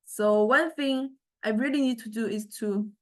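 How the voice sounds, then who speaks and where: A woman lecturer explaining a software demonstration in a halting way, often repeating words as she searches for the next one. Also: a little high.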